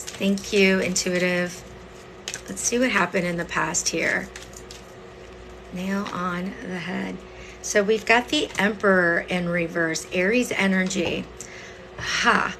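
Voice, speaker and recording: A woman with a slightly hoarse voice giving a casual live tarot reading, chatting to viewers and checking in as she explains. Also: on the low side, fairly bright tone, a little hiss or hum, rough recording. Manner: conversational, explanatory, frequent "okay?" and "right?" check-ins. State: voice partly lost, hoarse. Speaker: tarot reader hosting a livestream.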